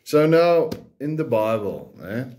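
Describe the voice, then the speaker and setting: Slow, mellow Afrikaans-accented man preaching. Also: mid-range voice, very animated. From South Africa. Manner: hesitant, ends phrases with a questioning "né?" tag. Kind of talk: informal preaching monologue.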